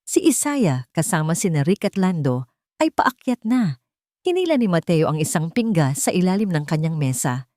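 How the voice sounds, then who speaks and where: Low-pitched woman narrating dramatic fiction. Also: sing-song, moderate pace, darkish tone, no room sound, clean studio sound. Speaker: storyteller narrator. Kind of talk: dramatic scripted romance-thriller audio story narration.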